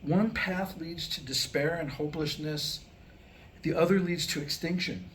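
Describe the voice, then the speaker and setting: A man reading a scripted comic monologue at a live staged reading, with a wry, mock-scholarly delivery and deliberate phrasing. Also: on the high side, fairly bright tone. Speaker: writer presenting his new humorous script. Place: live staged reading before a small audience. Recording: clearly noisy live room recording.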